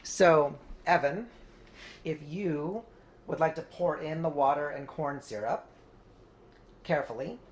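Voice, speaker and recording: A home baker talks through a project in a casual, rambling way, unscripted and hedging along the way. The voice sits at a middling pitch, and clear background noise runs through the homemade recording. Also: a little slow, long pauses. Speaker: home baker hosting a craft project video. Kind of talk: casual unscripted how-to narration.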